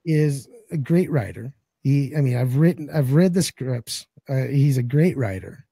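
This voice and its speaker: A man's voice: medium pitch, moderate pace, vocal fry, dark tone, slightly rough, no room sound, clean studio sound. A man in his forties co-hosting a casual talk show, joking and cursing bluntly, his voice still cracking after losing it. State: recovering voice, some cracking. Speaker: podcast co-host. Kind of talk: casual chat.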